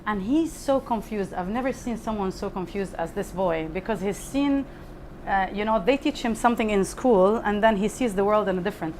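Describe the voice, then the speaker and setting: A woman's voice: mid-range voice, moderate pace, a little mellow, poor audio. A woman shares personal stories as a panel guest. She is candid and chatty, with a mid-range voice. There is faint background noise from a live event. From Lebanon. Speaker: panel guest, fintech founder. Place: live talk event before an audience.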